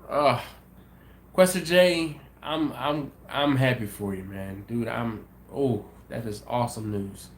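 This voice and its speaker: A man's voice: mid-range voice, slow pace, slight background noise. A man hosting a casual livestream, chatting with viewers in an easygoing, rambling way and sounding a bit congested and sniffly. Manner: easygoing, thinks aloud with many ums and restarts. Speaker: livestream host. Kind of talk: casual live chat reading viewer comments.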